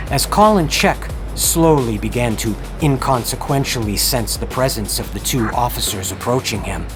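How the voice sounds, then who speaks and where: A man narrating a scripted horror story in a dramatic, deliberate way. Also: medium pitch. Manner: dramatic, ornate, deliberate phrasing. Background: dramatic music bed.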